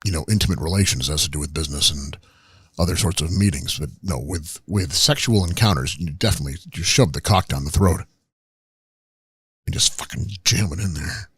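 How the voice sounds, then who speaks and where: A man playing a crude, arrogant retired wrestling tycoon on a comedy podcast, rambling with halting restarts. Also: deep voice, a bit bright, slightly rough, no room sound, exaggerated voice. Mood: smug. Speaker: comedy podcast guest character.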